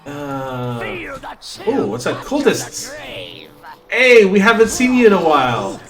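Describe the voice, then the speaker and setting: Man, native Cebuano speaker, let's play commentary, chatty and jokey, soft game music behind. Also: fairly high pitch, very animated, takes it slowly. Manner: chatty, jokey, reads game text aloud. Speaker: streamer.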